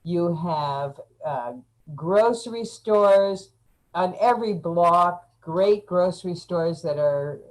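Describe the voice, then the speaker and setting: A woman guest chatting casually, halting often with fillers. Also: fairly low pitch, low-quality recording. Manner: slow, halting, frequent fillers. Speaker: interview guest. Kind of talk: casual online chat.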